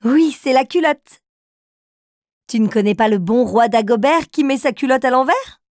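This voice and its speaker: A woman's voice: high voice, lively intonation, moderate pace, a little mellow, no echo, clean studio sound. A woman playing a patient, encouraging mother figure who tells riddles to young children in a cartoon. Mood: playful, teaching.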